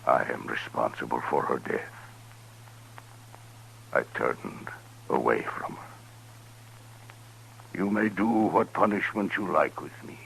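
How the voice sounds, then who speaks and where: Very deep, slow, solemn old-radio chief. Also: male voice, big pitch swings, gravelly, fairly bright tone, full character voice, low-quality recording. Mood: solemn grief. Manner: solemn, formal, deliberate phrasing. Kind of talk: 1950s radio western acting. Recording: 1950s radio recording with faint noise.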